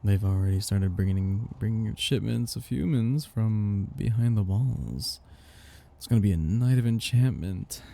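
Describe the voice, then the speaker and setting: A very dark, low-pitched vampire master, acted out by a man for intimate ASMR roleplay. He speaks slowly and breathily, with teasing, condescending affection and a possessive, aristocratic air that swings between murmurs and fuller tones. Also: vocal fry. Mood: smug, anticipating. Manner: slow, teasing, condescendingly affectionate, with whispers and chuckles.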